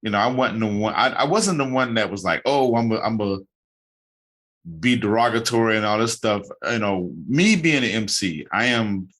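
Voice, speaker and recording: Male podcast host, casual rambler, low pitch, bright tone. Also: animated, normal speed. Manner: rambling, many restarts and fillers. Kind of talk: casual interview chat.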